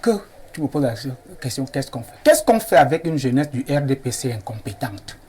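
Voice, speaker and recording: A man's voice: medium pitch, sing-song, brisk, a little mellow, husky. A man, a panelist on a TV debate, combative and emphatic, cutting in to make his point. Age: 40s.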